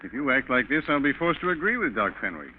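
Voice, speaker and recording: An actor playing a concerned, sensible friend in 1940s radio style, earnest and brisk. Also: male voice, medium pitch, sing-song, natural voice. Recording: tinny old radio recording, faint noise.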